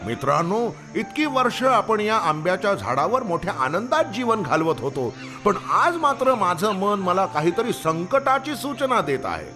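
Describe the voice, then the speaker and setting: Selfless monkey king, children's cartoon, bright forceful tone, lively swings, dramatic. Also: high-pitched, moderate pace, faint music behind, exaggerated voice. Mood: grave, cautioning. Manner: earnest, commanding, dramatic. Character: noble selfless monkey king, protective leader. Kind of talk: children's cartoon story voice acting.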